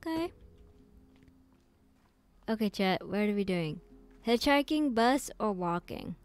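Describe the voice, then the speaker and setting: A woman's voice: medium pitch, lively intonation, slow pace. A woman streaming a game live, chatting casually with her viewers in a rambling, giggly way with many fillers.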